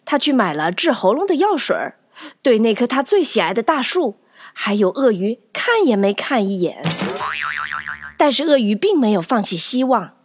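A woman narrating a children's story in an expressive, animated scripted style, acting out the tale. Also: a little high, normal speed, husky.

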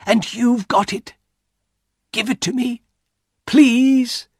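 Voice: medium pitch, steady tone, slow pace, husky, no room sound, clean studio sound, voice pushed up. A narrator voicing a grieving old mother in an audiobook, pleading urgently and insistently. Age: older. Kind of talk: audiobook character acting.

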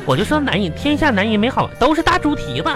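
Music plays behind a theatrical single woman with a Northeastern Mandarin accent. Her delivery is lively and swooping, folksy and full of self-mocking grumbles, in the manner of radio comedy. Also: a little high, fast talker. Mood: aggrieved. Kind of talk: live broadcast comedy skit, colloquial banter.

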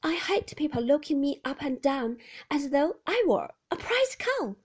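A woman's voice: sits high, sing-song, voice pushed up. A woman reading an audiobook voices a shy, anxious young wife, pleading and emotional. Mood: aggrieved. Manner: pleading, emotional, quick to protest.

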